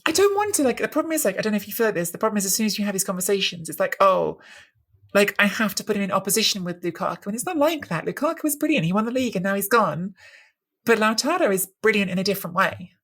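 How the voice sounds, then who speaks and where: A woman's voice: medium pitch, animated, very fast, fairly bright tone. A woman co-hosting a football podcast, talking through tactics in a thoughtful way and often restarting as she searches for words. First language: English. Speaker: podcast co-host. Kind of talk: casual football analysis chat.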